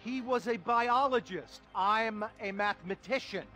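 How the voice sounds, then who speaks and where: Pompous high-pitched finance tycoon. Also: male voice, a little slow, pushed and bright. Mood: grandiose, scornful. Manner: pompous, contemptuous, theatrical. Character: rich, ruthless, cynical male finance tycoon mentor. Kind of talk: satirical video game movie acting. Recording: music under the dialogue.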